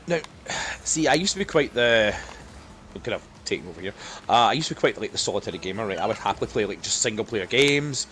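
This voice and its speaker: A man's voice: on the low side, animated, fast talker, fairly bright tone, slight background noise. A man in a casual, jokey, sweary chat with friends as a podcast co-host.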